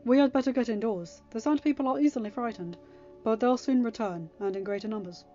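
A kindly old hermit mentor voiced by a woman in an audiobook, speaking in a gentle, measured, musing way. The sound is a little dull, with music behind. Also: a little high, close to an everyday voice. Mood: cautioning. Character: calm, kindly older bearded male hermit mentor.

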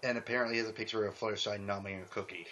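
A man's voice: on the low side, fairly even intonation, racing, crowd noise. A man hosting a group fan fiction reading over an online voice call, talking casually with his friends. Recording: muffled lo-fi voice-call sound.

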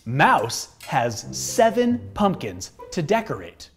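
A man's voice: on the high side, wildly swooping pitch, slow, faint music behind. An American man in his thirties co-hosting a children's storybook show, mixing read-aloud with mock-serious comic banter. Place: small bright indoor studio set.

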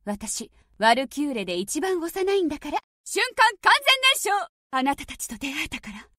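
A woman's voice: sits high, very animated, moderate pace, fairly bright tone, no room sound, clean studio sound. A woman voicing a confident, mysterious young diva, commanding and teasing, in anime-style device prompts. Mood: cool, confident. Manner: commanding, teasing, self-assured.

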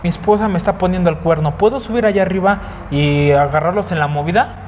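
A young man with a Mexican accent, hosting a street prank video and asking absurd questions in a deadpan, mock-pleading way on an action camera. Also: sits high, quick pace, lo-fi sound, slight background noise. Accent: Mexican Spanish. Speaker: prankster host. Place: streets, shops and a car lot, mostly outdoors. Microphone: handheld action camera. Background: background music.

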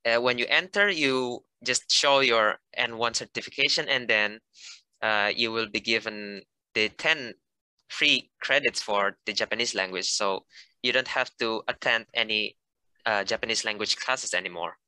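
A man in his twenties from Indonesia, an international student explaining things haltingly on a video call. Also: fairly low pitch, sharp tone, clean tone, no room sound, poor audio. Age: 20s. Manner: halting, searching for words in English.

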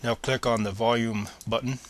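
A man's voice: mid-range voice, fairly even intonation, normal speed. A man presenting a step-by-step screencast tutorial in a plain, methodical, instructional manner.

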